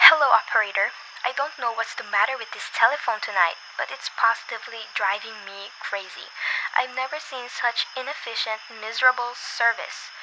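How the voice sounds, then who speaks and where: A woman's voice: on the high side, moderate pace, noisy background, lightly acted. A woman playing an imperious, nervous, bedridden wife in an amateur radio play, demanding and melodramatic. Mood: indignant.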